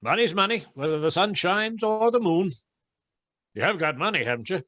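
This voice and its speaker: A man playing a gruff, money-minded village blacksmith with blunt rustic speech in a radio play. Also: high voice, expressive, normal speed, pushed and bright, no room sound. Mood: gruff, wry.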